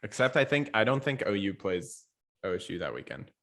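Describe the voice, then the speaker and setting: A man's voice: fairly low pitch, big pitch swings, fairly quick, fairly bright tone. A man from the United States co-hosting a podcast, talking casually about sports. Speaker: podcast co-host.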